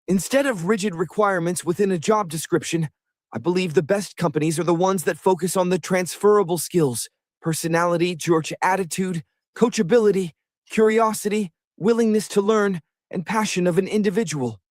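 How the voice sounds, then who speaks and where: A man leaving a recorded comment, delivering a measured, prepared statement. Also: high voice, dry recording, clean studio sound. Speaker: caller leaving a message.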